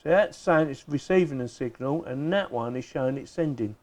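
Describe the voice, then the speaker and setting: A man's voice: mid-range voice, fairly quick, darkish tone. A middle-aged man with an English accent, giving a casual, chatty hands-on product review in plain, informal words.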